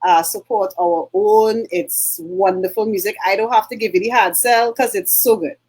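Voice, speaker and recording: A middle-aged Trinidadian woman co-hosting a relaxed talk show. She rambles warmly through her points with frequent ums and uhs, at an ordinary middle pitch. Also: normal speed. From Trinidad and Tobago. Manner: chatty, many fillers and throat clearing. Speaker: co-host. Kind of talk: casual chat.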